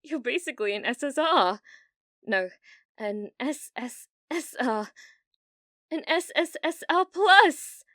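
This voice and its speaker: A delusional young British gamer girl, acted by a woman for an ASMR roleplay. Her voice is fairly high and very close and dry. She speaks slowly, with a needy, obsessive sweetness that carries menace. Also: expressive, bright, forceful tone, no echo, exaggerated voice. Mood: excited, obsessive. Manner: breathy giggles, slow dreamy delivery with sudden intense bursts. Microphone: close studio-style mic, dry.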